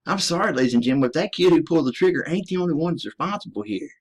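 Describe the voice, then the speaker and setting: A man's voice: a little high, expressive, very fast, breathy edge. A man from the United States, a military veteran, delivering a profane, emphatic ranting monologue to his listeners. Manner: profane, emphatic, addresses listeners as ladies and gentlemen.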